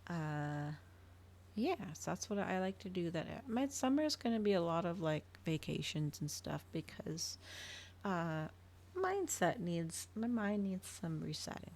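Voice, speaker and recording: Girl streamer just chatting, kinda low voice w lots of fry, rambly thinking out loud. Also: very animated, unhurried. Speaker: livestreamer. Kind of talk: casual chat to stream viewers.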